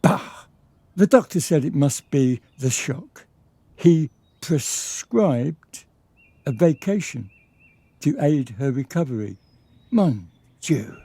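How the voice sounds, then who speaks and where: A proud, touchy lord of a period radio play, performed by a man. He speaks slowly in a dark voice with dramatic rises and falls, haughty and commanding in his formal diction. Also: on the high side, animated, dry recording, full character voice. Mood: gruff, indignant. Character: proud, imperious, easily affronted lord and father.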